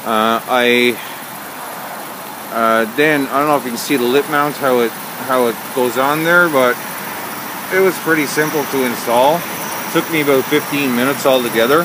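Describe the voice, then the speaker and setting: Man, amateur vlogger, mid-pitched voice, hesitant delivery, casual show-and-tell, clear background noise. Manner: halting, hesitant delivery with frequent pauses. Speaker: amateur vlogger showing an installation. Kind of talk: casual unscripted walkthrough.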